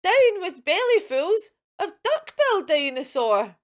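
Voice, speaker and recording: A gentle little dinosaur outcast , played by a woman reading a storybook, high-pitched and earnest. The words come out plaintive and hooting, stretched slowly with big swoops of pitch. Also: sing-song, heavily acted. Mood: earnest protest.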